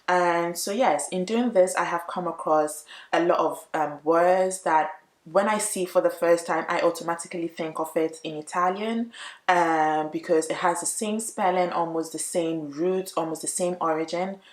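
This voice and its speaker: A woman who speaks English natively, chatting casually to viewers as she explains language points, expressive and emphatic, repeating words for emphasis. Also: lowish voice, normal speed. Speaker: YouTube language vlogger. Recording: roomy, echoey room.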